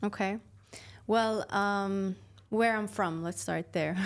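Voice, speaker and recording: A woman in her twenties from Sweden, a podcast guest talking casually and thoughtfully about her path, with fillers and soft laughs. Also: mid-range voice, slow. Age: 20s. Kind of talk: casual interview chat.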